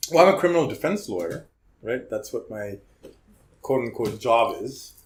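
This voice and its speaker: A man's voice: medium pitch, lively intonation, normal speed, a little hiss or hum. A man in his forties who grew up in Hong Kong, a guest in a casual podcast chat, talking freely and often ending sentences with "right?". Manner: chatty storyteller, tags sentences with "right?". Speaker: podcast guest, criminal defense lawyer.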